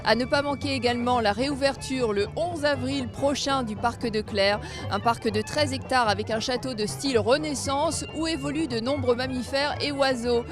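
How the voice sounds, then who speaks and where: A woman's voice: sits high, moderate pace, few pauses, music in the background. A woman hosting a local programme, interviewing people on location as a reporter. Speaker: reporter and show host.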